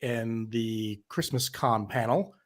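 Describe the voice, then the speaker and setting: A man speaks at a slow, measured pace in a casual group chat. He sounds like a panelist sorting out parts, with a medium-pitched voice.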